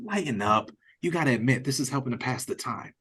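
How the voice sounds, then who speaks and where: A man playing a chatty, cheeky customer in an audio drama read-through, with exaggerated politeness and hesitant pauses. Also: medium pitch, lively intonation, fairly bright tone, husky. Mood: teasing. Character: chatty, cheeky, hungry male restaurant customer. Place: remote reading over a video call. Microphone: video call.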